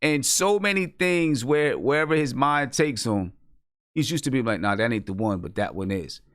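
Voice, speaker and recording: A man's voice: mid-range voice, expressive, normal speed, a bit bright, dry recording, clean studio sound. An American man hosting a casual live chat show, speaking conversationally and repeating phrases for emphasis. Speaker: host of a hip hop music review show.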